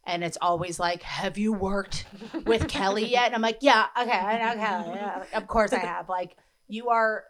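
A woman's voice: medium pitch, moderate pace, crowd noise. A woman hosting a podcast, interviewing a guest in an effusive, chatty way. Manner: effusive and chatty, many fillers. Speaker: podcast host. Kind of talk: casual interview chat.

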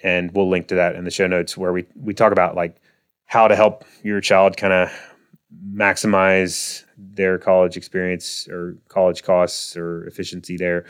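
A man's voice: low-pitched, moderate pace, smooth. A man in his thirties hosting a finance podcast, talking casually with frequent fillers and restarts. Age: 30s. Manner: relaxed, lots of fillers and restarts. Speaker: podcast host. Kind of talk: casual solo podcast talk.

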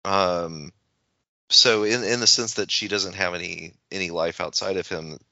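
Deep, bright-voiced man, halting and reflective. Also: steady tone, gravelly, no echo. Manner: halting, self-correcting. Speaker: podcast co-host; teacher. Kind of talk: casual reflective discussion. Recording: slightly dull, lo-fi audio.

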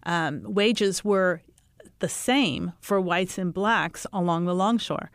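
Smooth-voiced woman superintendent. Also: on the low side, slow, no echo, clean studio sound. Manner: reflective, storytelling. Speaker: school superintendent. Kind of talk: panel discussion.

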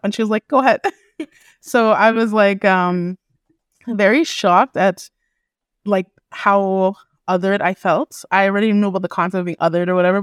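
A woman's voice: medium pitch, no echo, clean studio sound. Young woman chatting with a laugh. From Canada and the Caribbean. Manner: laughs often, many filler likes. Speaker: podcast guest. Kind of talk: casual group conversation.

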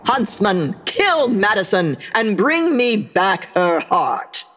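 A woman playing a vain, menacing evil queen in a comic radio play, speaking imperiously and deliberately. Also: mid-range voice, big pitch swings, very noisy. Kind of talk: comic recreation of 1930s radio drama.

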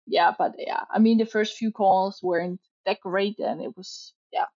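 A woman's voice: mid-range voice, steady tone, moderate pace, darkish tone, highs cut off. A woman from Austria, whose first language is neither English nor Danish, chatting casually in an interview with many fillers. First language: not English or Danish.

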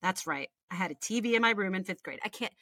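A woman's voice: medium pitch, lively intonation, fast, pushed and bright, no room sound. An American woman in her forties, a podcast co-host reading a personal story aloud with candid, wry, chatty asides and casual swearing. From Texas, United States. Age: 40s.

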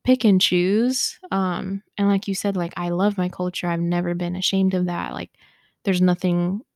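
Young woman co-host, low fry-heavy voice, halting delivery, dry sound. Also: fairly even intonation, a little mellow, no echo. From Ethiopia. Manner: halting, many fillers, searching for words. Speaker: podcast co-host. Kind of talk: casual conversation.

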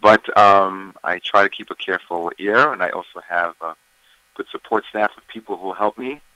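A man, a singer, talking casually about his album in an interview, with a deep voice and plenty of fillers and restarts. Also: normal speed, no echo, lo-fi sound. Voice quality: deeper voice. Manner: relaxed, many fillers and restarts.

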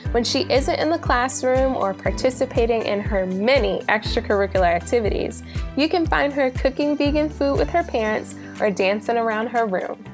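A woman's voice: fairly high pitch, lively intonation, normal speed, over music. A woman hosting an interview podcast, speaking into a close microphone. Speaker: podcast host. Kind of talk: scripted introduction and interview.

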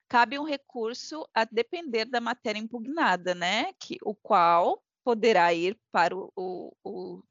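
A woman's voice: medium pitch, dry recording. A woman with a foreign accent in her Portuguese, explaining law informally and searching for words. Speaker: podcast panelist explaining law. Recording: dull lo-fi, dry close sound.

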